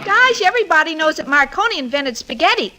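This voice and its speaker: A woman's voice: high voice, very animated, fast. An actress playing an innocent, scatterbrained young woman with guileless, literal-minded chatter in a 1940s radio comedy. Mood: naive, chirpy. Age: young adult. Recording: noisy, crackly 1940s radio recording.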